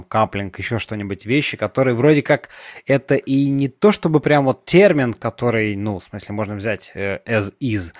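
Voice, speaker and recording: A man's voice: medium pitch, no room sound, studio quality. A man hosting a panel chat, asking long, searching questions full of fillers. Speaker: podcast host. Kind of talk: moderating a panel discussion.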